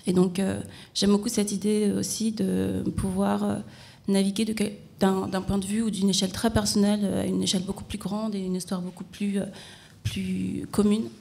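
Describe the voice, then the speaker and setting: A woman's voice: medium pitch, level tone, moderate pace, vocal fry, mellow. A woman from France, an artist answering interview questions in a reflective, conversational way.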